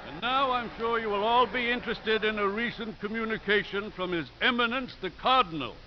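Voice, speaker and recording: Elderly college priest: earnest, sheepish, high and animated, dull vintage radio sound. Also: male voice. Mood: proud announcing. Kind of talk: 1950s radio drama acting. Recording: muffled, lightly noisy 1950s radio recording.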